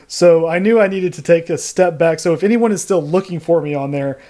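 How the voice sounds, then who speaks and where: A man with a somewhat higher voice hosts a casual podcast. He speaks haltingly and laughs between phrases. His tone is relaxed and conversational. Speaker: podcast host.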